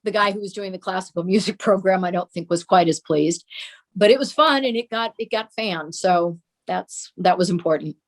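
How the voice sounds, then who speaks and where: An older woman reminiscing conversationally as an interview guest, telling anecdotes in a measured way. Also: lowish voice. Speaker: interview guest recalling a parent's radio career.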